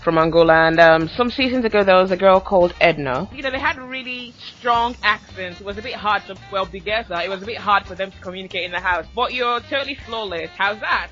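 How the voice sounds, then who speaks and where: A man's voice: sits high, fast talker, few pauses, fairly bright tone. A man hosting a podcast interview, upbeat and chatty, often laughing. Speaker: podcast host. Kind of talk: casual interview. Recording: dull lo-fi audio with faint music.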